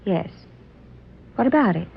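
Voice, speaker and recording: A woman's voice: mid-range voice, animated, a little slow, gravelly. A woman in old-time radio drama style playing a prim but playful young librarian, polite and coy, on a dull, hissy recording. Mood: coy, warming.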